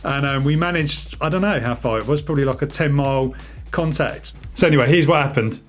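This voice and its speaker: A man giving a relaxed, matter-of-fact hands-on demonstration as the host of a hobby radio video. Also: on the high side, a little fast, faint music behind. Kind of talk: casual demonstration chat.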